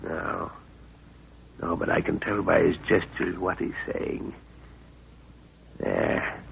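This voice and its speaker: A man acting a middle-aged, commanding, vengeful father who leads a jungle expedition in a 1930s radio adventure, gruff and authoritative. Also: sits low, steady tone, takes it slowly, fairly bright tone, heavily acted. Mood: tense, watchful. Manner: gruff, authoritative, clipped orders. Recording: muffled, noisy 1930s radio recording.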